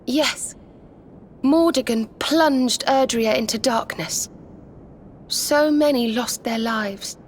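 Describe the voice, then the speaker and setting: A woman playing a gentle, earnest young woman, grieving yet hopeful, in a video game cutscene, speaking softly and with measured care. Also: a little high, slight background noise. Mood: solemn, tender.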